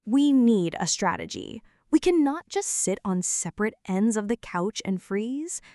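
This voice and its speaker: A woman's voice: mid-range voice, sing-song, unhurried, dark tone, no room sound, studio quality. A woman playing a flirty, playfully bossy friend in an intimate, scripted ASMR roleplay, speaking straight to the listener with mock-dramatic exaggeration. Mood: playfully insistent.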